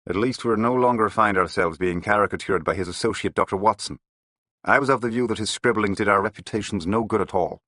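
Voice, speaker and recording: A disdainful police inspector with a dry, clipped delivery, as a man reads him aloud. His voice sits slightly above the reader's low register. The sound is dry and close. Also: a little fast, sharp tone, no room sound.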